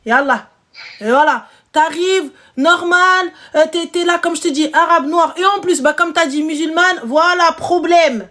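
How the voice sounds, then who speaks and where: High-voiced woman talking emphatically. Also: unhurried, bright, forceful tone. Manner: emphatic, repeats phrases, slangy street French. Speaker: live stream host; single mother. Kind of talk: casual live chat.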